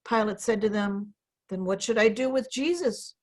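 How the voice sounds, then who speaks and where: A woman's voice: mid-range voice, normal speed. A woman pastor giving a dramatic reading of scripture over a video call. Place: indoor room, on a video call.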